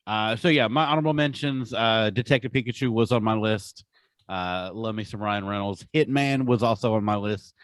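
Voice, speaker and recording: A man's voice: lowish voice, normal speed, clean tone, no echo. A man co-hosting a podcast in casual chat, informal and slangy with strong opinions. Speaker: podcast co-host.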